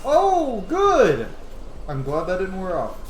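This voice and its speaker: A man giving excitable, casual gameplay commentary, blurting exclamations and swearing as he talks to himself and his viewers. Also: sits high, takes it slowly, slight background noise. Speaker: gaming video host. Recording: roomy echo, game music behind. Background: video game music.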